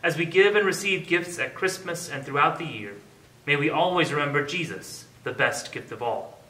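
Calm man praying, bright and roomy. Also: on the high side, moderate pace. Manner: calm, reverent prayer voice. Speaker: father leading a prayer. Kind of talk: spoken prayer. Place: living room by a Christmas tree, indoors. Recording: roomy, distant camera sound.

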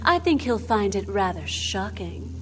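Flirtatious female bar owner, sultry, teasing, mid-pitched, video game dialogue, music behind. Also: big pitch swings, unhurried, poor audio. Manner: sultry, teasing, innuendo-laden. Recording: music under the voice.

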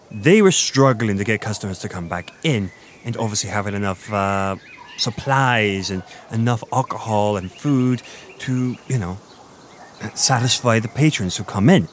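A man playing a flirty, boastful Irish innkeeper, chatting in a meandering, intimate roleplay monologue with thoughtful pauses. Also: medium pitch, a little hiss or hum.

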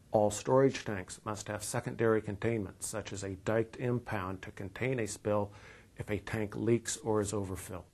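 A man in his fifties with an American accent presents scripted training material in a measured, explanatory way. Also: on the low side, dark tone. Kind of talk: scripted instructional narration. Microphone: lapel mic.

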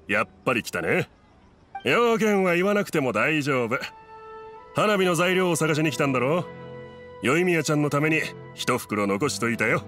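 Kindly middle-aged merchant, expressive delivery. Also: male voice, fairly high pitch. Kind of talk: Japanese anime game voice acting.

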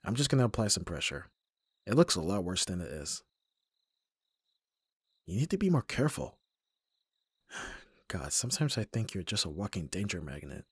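A man performs a young male bodyguard in an ASMR roleplay, abrasive on the surface and soft underneath. His voice is fairly low and husky, with exasperated, protective delivery. The recording is dry, with no room sound. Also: very animated, moderate pace, long pauses, no echo, exaggerated voice. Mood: concerned, gentle. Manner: gruff exasperated sighing, protective. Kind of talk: ASMR roleplay audio drama, acting to the listener.

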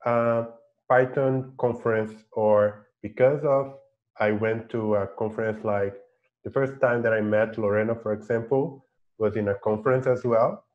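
A man talks thoughtfully as a panelist, pausing and repeating words. His voice sits a bit low, and the video-call audio is dull and muffled. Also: steady tone. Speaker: panelist, developer. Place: home room.

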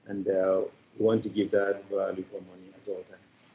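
An older man with a Nigerian accent, answering as an interview guest in a deliberate, halting, formal way over a muffled video call. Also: deep voice, little pitch movement, mellow, slight background noise. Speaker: interview guest, media company representative. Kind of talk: considered interview talk. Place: indoor room, on a video call.

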